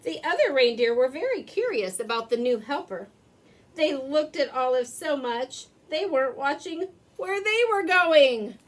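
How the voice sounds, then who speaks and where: A woman's voice: sits high, sing-song, a little slow. A woman narrating a children's storybook expressively, drawing words out for effect. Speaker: storybook reader. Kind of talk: animated children's read-aloud narration.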